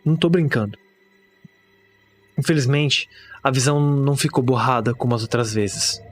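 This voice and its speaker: Male actor as frightened Brazilian horror narrator, first-person creepypasta, dramatic, anguished, mid-pitched, prominent music behind. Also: normal speed, natural voice. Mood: tense dread. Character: terrified young man recounting a late-night TV horror.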